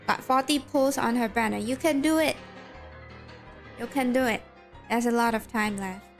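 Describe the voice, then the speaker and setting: A woman's voice: on the high side, normal speed, faint music behind. A young woman with a Malaysian accent, streaming a game and chatting casually with her viewers while reading game text aloud.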